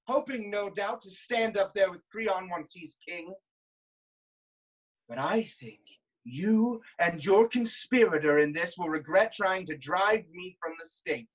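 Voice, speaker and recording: Casting: performer as suspicious, hot-tempered monarch, commanding and declamatory, mid-pitched voice, classical Greek tragedy. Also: rough recording.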